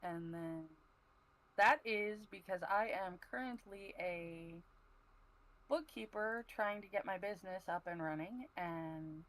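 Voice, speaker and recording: A woman chatting casually as a livestream panelist, halting and easily flustered as she speaks. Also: fairly low pitch, a bit bright, low-quality recording. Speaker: panelist on a talk show.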